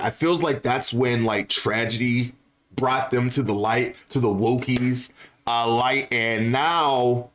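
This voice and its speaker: A man's voice: medium pitch, fairly bright tone. A man giving casual, opinionated video commentary on gaming news, often repeating phrases for emphasis. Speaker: video creator and host.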